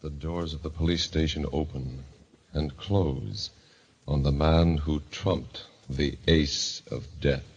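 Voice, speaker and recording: A man narrating and announcing a 1940s radio mystery show in a theatrical, ominous, deliberate manner. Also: very deep voice, steady tone, dull audio, a little hiss or hum. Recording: vintage radio with music behind.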